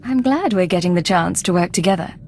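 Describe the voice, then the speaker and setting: A woman's voice: on the low side, lively intonation, fairly quick, a little mellow, slight background noise. An actress playing a cool, blunt female Imperial agent in a video game, curt and commanding. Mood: cool, confident.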